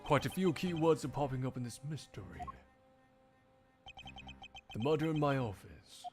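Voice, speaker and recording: A man voicing a composed, erudite prosecutor in a video game fan dub, speaking in formal, measured, dramatic phrases. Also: mid-range voice, expressive, music in the background, lightly acted. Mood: brooding.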